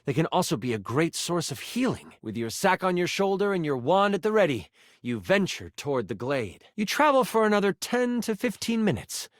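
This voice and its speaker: A man's voice: high voice, big pitch swings, moderate pace, dry recording, clean studio sound. A man playing a theatrical game master, narrating a fantasy adventure with dramatic delivery in a video game. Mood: suspenseful storytelling. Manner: dramatic, storytelling in second person.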